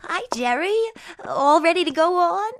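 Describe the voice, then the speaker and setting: Squeaky high flirty showgirl, chatty, bouncy up and down delivery, radio drama. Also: lively intonation, a little slow, loud music behind the voice, full character voice. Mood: teasing. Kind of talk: radio play acting.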